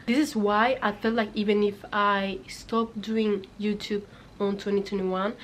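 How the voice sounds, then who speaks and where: A young woman from Senegal speaks English as a second language in a chatty, upbeat vlog to her viewers. Her voice sits at a middle pitch, and a faint hiss runs under the recording. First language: not English. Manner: chatty, upbeat, repeats for emphasis. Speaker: YouTuber. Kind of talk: casual talking-to-camera vlog.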